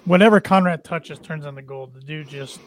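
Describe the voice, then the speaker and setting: A man co-hosting a casual podcast, chatty and quick to agree. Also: on the high side. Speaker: podcast co-host. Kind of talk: casual wrestling podcast chat.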